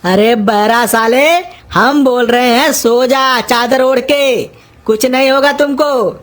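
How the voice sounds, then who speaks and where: A woman's voice: fairly high pitch, slow pace. A woman voicing a cranky, scolding old cartoon uncle. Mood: irritated scolding. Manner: gruff, insulting, exasperated scolding. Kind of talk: cartoon comedy dialogue in rustic Hindi.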